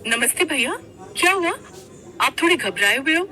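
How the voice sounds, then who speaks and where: Gentle, dutiful young stepsister played by a woman for a cartoon tale; high, bright delivery. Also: lively intonation, normal speed, soft background music. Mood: concerned. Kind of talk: cartoon story character voice.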